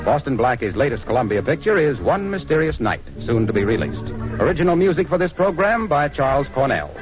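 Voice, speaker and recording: A man's voice: mid-range voice, big pitch swings, a little fast, over music. A man reading scripted copy as a 1940s radio announcer and sponsor spokesman, on a muffled old recording.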